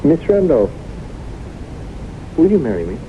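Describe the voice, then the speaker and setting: Formal middle-aged gentleman suitor, earnest and courtly, old radio drama sound, dull with hiss. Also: fairly high pitch, sing-song, measured pace. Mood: pleading, earnest. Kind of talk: 1970s radio drama acting. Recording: noisy muffled old radio broadcast recording.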